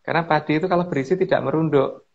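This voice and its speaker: A man's voice: fairly high pitch, fairly even intonation, racing, gravelly, lo-fi sound, a little hiss or hum. A man guest speaker explaining old lore in a casual, rambling way, full of fillers and restarts, politely addressing the host over an online call.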